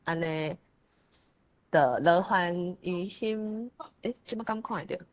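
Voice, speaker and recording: A woman with a Taiwanese accent hosting an online literary gathering informally, speaking politely with hesitant pauses. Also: lowish voice, dry recording. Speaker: host of an online literary forum.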